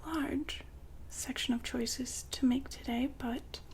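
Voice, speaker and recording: A woman playing the poised, businesslike madam of a futuristic establishment in an ASMR roleplay, whispering softly and formally to a client. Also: high-pitched, fairly even intonation, exaggerated voice. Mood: smooth, attentive. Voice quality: soft, breathy whisper. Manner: polite, formal, unhurried saleswoman patter.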